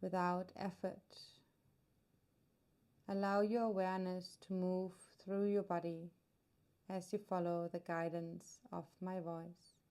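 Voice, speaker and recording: A woman guiding a meditation as a yoga nidra teacher, soothing and unhurried, leaving long pauses between her phrases. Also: fairly low pitch, level tone, dark tone, clear, resonant tone. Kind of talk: guided meditation narration.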